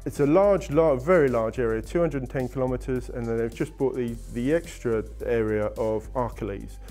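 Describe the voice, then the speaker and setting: A man's voice: mid-range voice, moderate pace, mellow, soft background music. A middle-aged man answering interview questions about ski holidays, enthusiastic and salesy, with frequent restarts. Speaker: travel company guest. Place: indoor studio set with wooden walls.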